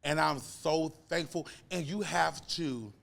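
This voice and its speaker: A man's voice: on the high side, slow pace, gravelly. A man in his twenties, a podcast guest talking casually in an earnest, emphatic way. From United States. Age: 20s. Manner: earnest, emphatic, animated storytelling. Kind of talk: casual conversation.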